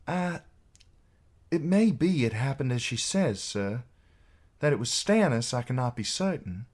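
A man's voice: medium pitch, lively intonation, a little slow, a little mellow, pitched above the performer's own voice. A man voicing a young noble knight in an audiobook, formal, tense and hesitant.

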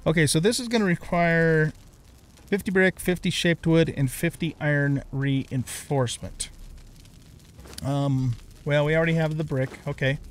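A middle-aged man with a voice pitched a little higher than most men's. He hosts a gaming video in a casual, unscripted way. He tends to ramble, talking through each step as he thinks of it. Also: unhurried, faint music behind. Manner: rambling, thinking aloud as he plays. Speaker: gaming YouTuber, host. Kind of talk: casual let's play commentary.

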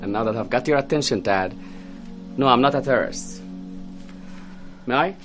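An actor playing a calm math teacher with dry, deadpan humor, in a classroom. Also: male voice, medium pitch, normal speed, faint music behind, close to an everyday voice. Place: classroom indoors.

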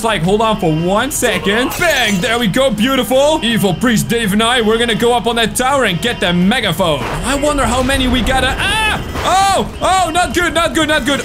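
A man in his twenties hosting a gaming video with excited, playful, exclamatory commentary, close on the mic. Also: sits high. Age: 20s.

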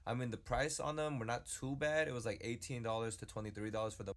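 A man's voice: mid-range voice, steady tone, quick pace. Young man's casual vlog voice-over. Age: young adult. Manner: slangy, conversational. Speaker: vlogger.

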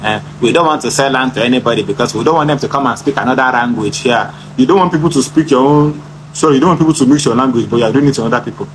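A man's voice: medium pitch, rapid-fire, slight background noise, low-quality recording. A man with a Nigerian Pidgin accent ranting defiantly in a social media clip. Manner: defiant, threatening. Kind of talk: angry social media rant.

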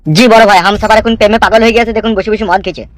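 A man's voice: high voice, fairly even intonation, rapid-fire, a bit bright, dry recording. A man voicing a cheeky, melodramatic gang lackey with theatrical back-talk in a comedy cartoon, music behind. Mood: cheeky reporting. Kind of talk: Bengali comedy cartoon dubbing.

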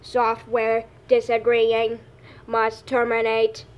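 A woman's voice: fairly high pitch, monotone, slow. A woman voicing an easily offended robotic dog in an amateur cartoon skit, with stilted, machine-like announcements. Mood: indignant, stilted. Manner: stilted robotic announcements among plain talk.

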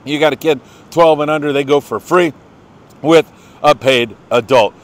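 Older man, American accent, slightly high voice, chatty, folksy, enthusiastic, casual fishing report host. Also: moderate pace. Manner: chatty, enthusiastic, folksy, congratulating people by name.

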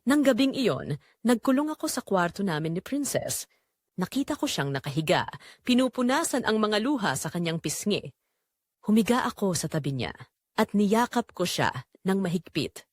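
Woman, Filipino accent, dramatic storyteller, emotional delivery, very lively pitch, dry close sound. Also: moderate pace, no room sound. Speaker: storyteller narrating a Tagalog audio drama. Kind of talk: dramatic first-person scripted narration.

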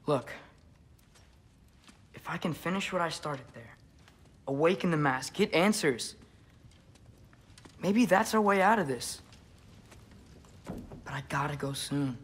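A man's voice: a little high, expressive, slow pace, long pauses, fairly bright tone, slightly rough, full character voice. A young-sounding man playing an earnest, determined teenage boy in a dramatic video game. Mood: earnest pleading.

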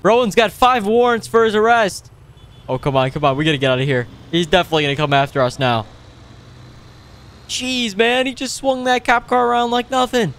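A man with a fairly high, smooth and clear voice gives casual running commentary over a roleplay gaming video. He is playful and excitable, tossing out exclamations as he goes. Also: brisk.

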